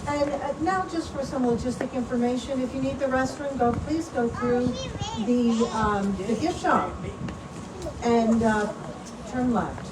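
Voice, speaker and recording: A woman with a slightly high voice hosts a live event, making informal announcements at a slow, halting pace. She sounds far from the mic, outdoors. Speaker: event host. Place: outdoors at an animal ranch. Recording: roomy, distant mic, clear background noise.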